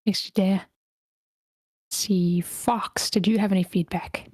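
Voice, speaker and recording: A woman's voice: medium pitch, steady tone, measured pace, mellow, no room sound, studio quality. A woman casually moderating an online meeting as its host. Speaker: host of table read.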